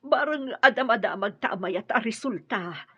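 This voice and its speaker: A woman's voice: fairly high pitch, animated, normal speed, pushed and bright, slightly rough, lo-fi sound, full character voice. An actress playing a fretful middle-aged mother in an audio drama. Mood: anxious.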